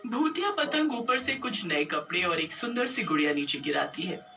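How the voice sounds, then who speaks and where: A woman narrates an animated tale in a bright, lively voice. The scripted storytelling has music behind it and a dull, lo-fi sound. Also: on the low side, normal speed, creaky, recorded from a distance.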